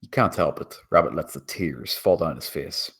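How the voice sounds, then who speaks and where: A man's voice: extremely low pitch, normal speed, fairly bright tone, slightly rough. A man reading scripted screenplay action as the narrator.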